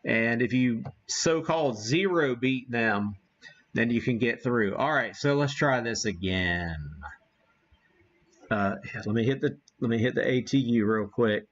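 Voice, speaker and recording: Muffled, lo-fi stream audio carries an American man with a middling-low voice. He talks slowly and casually, thinking out loud as he hosts a live demonstration. His phrasing is folksy and homespun. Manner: folksy, mild homespun exclamations. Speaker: livestream host demonstrating ham radio gear.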